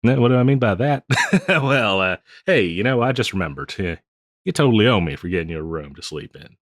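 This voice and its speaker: Man playing a deep-voiced folksy old Western bartender. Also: big pitch swings, fairly quick, no echo, clean studio sound. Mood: playful, coy. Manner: folksy, rambling, chuckling drawl with hesitations. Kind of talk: intimate scripted roleplay audio, addressed to listener.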